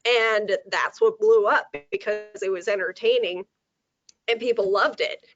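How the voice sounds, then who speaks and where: A woman with a slightly hoarse, sore throat, chatting at length on a video call and laughing easily. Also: mid-range voice, fairly even intonation, unhurried. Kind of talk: casual video call update. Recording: dull lo-fi video call audio.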